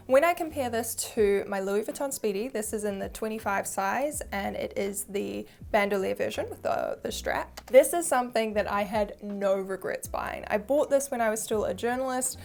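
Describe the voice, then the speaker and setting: Casting: young woman, New Zealand accent, fairly high voice, candid and chatty vlogger. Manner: chatty, candid, self-deprecating. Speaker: vlogger reviewing a handbag. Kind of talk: casual to-camera review.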